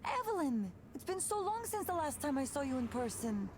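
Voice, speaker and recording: A woman's voice: high voice, very animated, fairly quick, a crowd in the background. An actress voicing an upbeat, candid young woman, a musician and old friend, in a visual novel game. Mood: excited.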